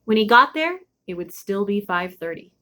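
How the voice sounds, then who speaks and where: A woman reading a children's chapter book aloud expressively, with dramatic pauses and drawn-out emphasis. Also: mid-range voice, lively intonation, sharp tone. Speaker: storybook read-aloud host.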